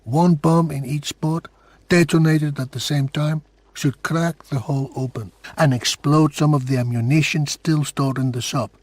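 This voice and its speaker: Husky, wry male undercover informant. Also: on the high side, steady tone, measured pace, a little mellow, dry recording. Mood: wry, matter-of-fact. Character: wry, world-weary male undercover informant at military base. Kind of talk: video game voice acting.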